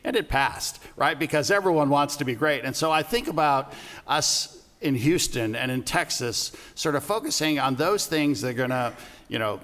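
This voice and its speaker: A man's voice: a little high, animated, normal speed, a bit bright. A man from Puerto Rico speaking on a panel, telling anecdotes in a wry, conversational way. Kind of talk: panel discussion. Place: event hall. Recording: long reverb of a hall.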